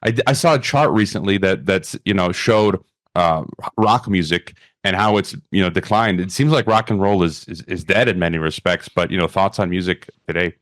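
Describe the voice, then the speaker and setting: A male podcast host asking interview questions in a halting way, repeating words as he goes. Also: low-pitched, no room sound, studio quality.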